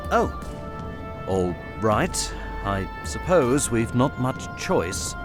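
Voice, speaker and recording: An earnest, slightly unsure young hero in a fantasy audio drama. He speaks politely in a medium voice with lively swings of pitch, and music plays clearly behind. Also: drawn-out words. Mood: uncertain.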